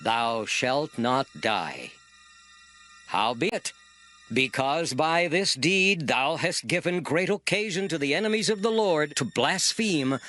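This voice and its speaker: A man's voice: on the high side, bright, forceful tone, exaggerated voice. An actor playing a stern male prophet in a dramatized scripture recording, solemn, declamatory and emphatic as he delivers judgment. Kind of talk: dramatized scripture audio acting.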